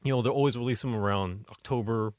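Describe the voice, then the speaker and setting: Male podcast co-host, average pitch, dry close sound, casual and opinionated. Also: fast talker, no echo. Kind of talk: casual movie discussion.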